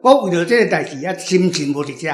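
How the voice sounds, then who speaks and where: High-pitched Taiwanese man, roomy echo. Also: animated, quick pace, slight background noise. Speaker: author and guest answering questions. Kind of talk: casual explanatory talk.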